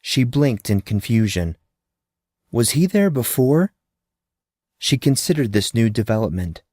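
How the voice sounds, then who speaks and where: Male storyteller for scripted fiction: medium pitch, expressive dramatic narration, dry studio-like sound with no room echo. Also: a little slow.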